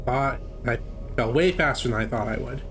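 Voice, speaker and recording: A man's voice: medium pitch, moderate pace. Casual male speedrun commentator over game music. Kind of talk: casual live gameplay commentary. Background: video game music.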